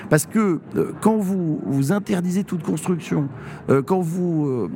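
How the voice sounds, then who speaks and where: A man's voice: sits high, unhurried, dark tone, a little hiss or hum. A man, a district mayor from Normandy, answering in a radio interview, hesitant with many fillers but firmly emphatic. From Normandy, France.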